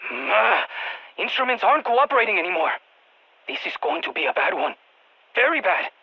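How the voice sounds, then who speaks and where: A woman voicing an eager, geeky field scientist in a video game, with a Latin American Spanish accent, talking over radio comms in an expressive, quirky way. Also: on the high side, brisk, husky, highs cut off, heavily acted. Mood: concerned. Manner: expressive, quirky, nerdy enthusiasm. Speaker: mission guide scientist.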